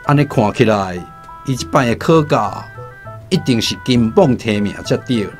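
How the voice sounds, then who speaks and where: An actor in a radio drama playing a seasoned fortune teller, solemn and fatalistic, making deliberate pronouncements. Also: male voice, medium pitch, expressive, faint music behind, lightly acted. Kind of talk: Taiwanese radio drama acting.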